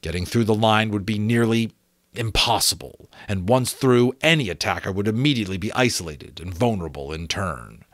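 A middle-aged man reading a novel aloud as expressive, deliberate dramatic narration, close to a desk microphone. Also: fairly low pitch, dry recording. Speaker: amateur audiobook reader. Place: small indoor room with bookshelves.

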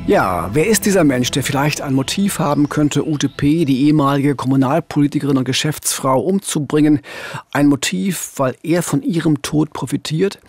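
A middle-aged man presenting a true-crime case in a deliberate, emphatic, conversational narration on a studio microphone. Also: fairly high pitch, a little mellow, breathy edge, soft background music. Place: indoor radio studio. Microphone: studio boom microphone.

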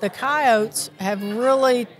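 An older woman with a Southern accent talks in a somewhat high voice, warm in her chatter and quick to restart her sentences. She speaks earnestly in a live interview recorded at a convention. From Miami, Florida. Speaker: sheep producers association president. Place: busy convention hall.

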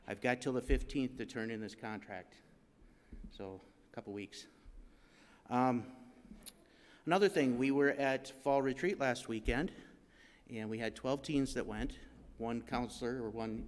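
Middle-aged man, plain conversational announcements, resonant voice in a reverberant hall. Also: mid-range voice. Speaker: church announcer. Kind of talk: church announcements. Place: church stage, indoors. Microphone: pulpit mic.

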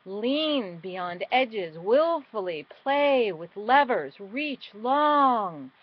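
A middle-aged woman performing a spoken-word poem with dramatic, deliberate phrasing that moves between emphatic and hushed. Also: a little high, sing-song. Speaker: poet. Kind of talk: spoken-word poetry recital. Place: small indoor room at home. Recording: dull, lo-fi webcam sound.

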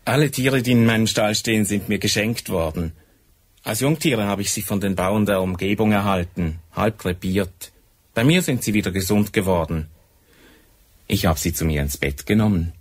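Simple animal keeper in radio drama, fairly low husky voice, earnest, tender. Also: male voice, normal speed. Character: plain-spoken male animal keeper with tender streak. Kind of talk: radio crime drama dialogue.

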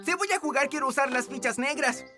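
A woman's voice: sits high, brisk, music in the background, natural voice. A woman voicing a confident young cat in a Latin American Spanish cartoon dub.

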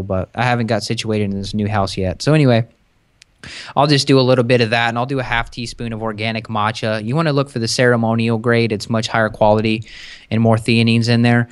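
A young man talking as a podcast guest over a video call from his kitchen, relaxed and chatty, explaining in long rambling runs into a close desk mic. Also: medium pitch, clean tone, dry recording. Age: young adult. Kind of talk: casual podcast chat.

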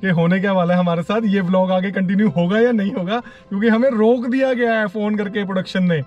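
A man's voice: high voice, fairly even intonation, fairly quick, lo-fi sound, faint music behind. A man vlogging casually from inside a moving car, chatting and joking with his friends on a road trip. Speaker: vlogger and actor. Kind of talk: casual travel vlog narration. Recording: loud car road noise.

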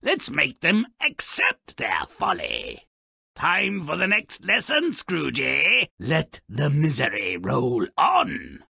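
A grubby, cynical imp narrating twisted fairy tales in a video game. The voice is raspy and harshly bright, drawn out at a slow, theatrical pace. The delivery is mocking and gleefully hammy. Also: male voice, fairly high pitch, huge pitch swings, no room sound, studio quality, heavily acted. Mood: scornful, gleeful. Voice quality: gravelly, raspy.

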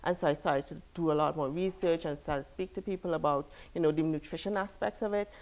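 A woman from Trinidad and Tobago in her thirties, a studio interview guest talking in a relaxed way with lots of "you know" and "like". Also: deep voice, fast talker. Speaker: guest, fitness expert. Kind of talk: casual interview talk. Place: TV studio, seated.

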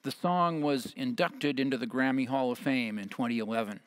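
An American man in his fifties preaching a sermon as a pastor, speaking in measured short phrases, partly reading from notes. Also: mid-range voice. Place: church sanctuary at a lectern. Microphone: headset mic.

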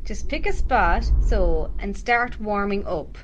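A woman's voice: medium pitch, expressive, takes it slowly, a little hiss or hum, rough recording. A woman playing an upbeat, bossy Irish leader of a secretive group in a radio drama. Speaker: actor in an amateur radio drama.

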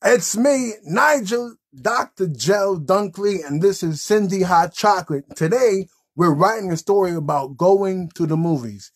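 A man's voice: high-pitched, expressive, measured pace, hardly any pauses, slightly rough. A man in his thirties hosting a children's puppet show in casual, improvised chat.

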